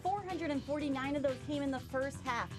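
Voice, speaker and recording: A woman co-hosting a sports show, reading scripted game highlights and stats. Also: brisk, music in the background. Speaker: TV sports co-host. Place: TV studio.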